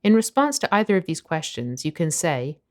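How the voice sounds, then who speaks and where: A woman narrating a scripted language lesson, explaining phrases clearly and at a measured pace. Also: low-pitched, sing-song, a little mellow. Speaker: language teacher.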